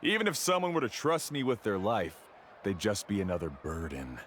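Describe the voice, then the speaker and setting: An actor playing an arrogant, dismissive guide-for-hire in a video game, with a haughty, scornful manner. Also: male voice, fairly low pitch, huge pitch swings, moderate pace, fairly bright tone, slight background noise. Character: arrogant, dismissive, mercenary male island guide.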